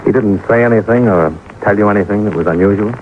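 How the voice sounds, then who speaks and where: An actor playing a businesslike, persistent insurance investigator in a vintage radio detective drama, clipped and matter-of-fact, narrating and questioning. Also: male voice, on the low side, fast talker, close to an everyday voice. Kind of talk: 1950s radio detective drama acting, first-person narration. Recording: noisy narrow-band old radio recording.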